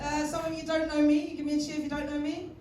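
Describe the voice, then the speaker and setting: London woman doing stand-up, kinda high voice, swears a ton, echoey club room with noise. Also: flat delivery, fast, far from the mic. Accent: London English. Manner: crude, loose, chatty, swears a lot. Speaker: stand-up comedian. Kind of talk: stand-up comedy set. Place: comedy club stage with live audience.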